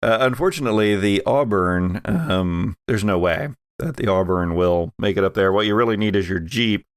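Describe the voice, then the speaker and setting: A man's voice: lowish voice, normal speed, smooth, no room sound, clean studio sound. A middle-aged American man running a tabletop roleplaying game as game master.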